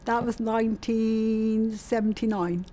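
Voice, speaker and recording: A woman's voice: fairly high pitch, steady tone, takes it slowly, warm tone, slight background noise. A woman, chatting and reminiscing about her own life in an interview, often repeating points for emphasis. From South West England. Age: 60s. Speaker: community storytelling interviewee. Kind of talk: casual personal reminiscence in an interview.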